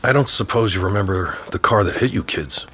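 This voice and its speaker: A middle-aged man playing a gruff, wry father with authority, questioning pointedly in an audio drama. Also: lowish voice, brisk, fairly bright tone, a little hiss or hum, natural voice. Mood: wry, concerned. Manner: wry, probing. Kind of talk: naturalistic audio drama acting.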